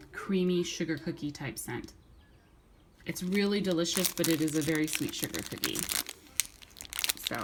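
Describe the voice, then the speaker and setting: A middle-aged woman talks in a lowish, slightly hoarse voice, as if she is fighting off a cold. She chats casually and rambles, restarting her sentences and filling pauses as she goes. A faint hiss of noise sits under her voice. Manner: chatty, rambling, with many restarts and fillers. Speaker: vlogger. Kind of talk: casual haul video chat.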